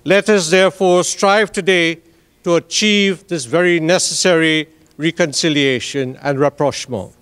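A middle-aged man gives a formal prepared opening speech at a conference, in measured phrasing. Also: a little high, fairly bright tone, clean tone. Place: large hall, at a lectern. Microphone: stand microphone on lectern. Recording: reverberant hall.

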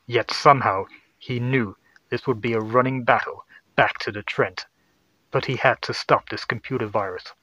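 A man's voice: medium pitch, steady tone, normal speed, sharp tone, no echo, lo-fi sound. A man narrating a scripted sci-fi horror story.

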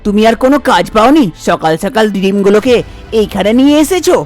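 A woman's voice: medium pitch, lively intonation, a little fast, darkish tone, faint music behind, pitched below the performer's own voice, lightly acted. A woman voicing a young husband in a cartoon story, exclaiming and questioning. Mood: startled, annoyed.

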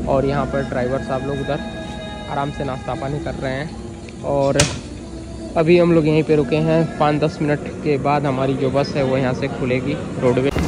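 Young man vlogging over loud traffic. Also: fairly high pitch. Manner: chatty, addresses viewers as brother and guys. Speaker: travel vlogger. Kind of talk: casual travel vlog narration. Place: outdoors at night on a roadside and in a bus. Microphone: phone held at arm's length. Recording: loud street traffic noise. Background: loud traffic and vehicle noise.

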